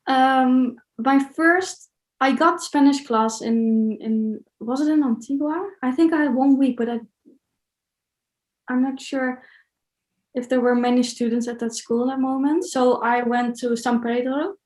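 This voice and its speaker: Dutch-accented woman, highish voice, echoey room. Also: a little slow, a little mellow. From Netherlands. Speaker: interview guest, solo traveller. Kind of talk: casual interview chat.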